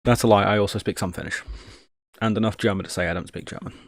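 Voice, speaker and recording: Low-pitched man muttering on a livestream. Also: fairly even intonation, fast talker, no room sound, studio quality. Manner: mutters steps aloud, self-deprecating, jokey. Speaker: live streamer, host. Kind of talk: casual live tech stream chat, thinking aloud while typing.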